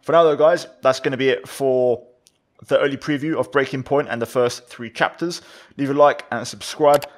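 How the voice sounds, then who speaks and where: A man's voice: medium pitch, steady tone, normal speed. A man hosting a gaming video, giving casual, chatty commentary and thinking aloud as he plays.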